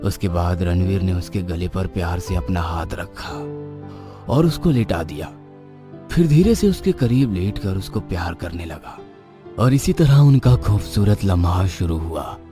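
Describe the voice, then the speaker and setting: Low, lively male horror narrator. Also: measured pace. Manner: expressive, suspenseful. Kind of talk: dramatic storytelling narration. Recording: background music.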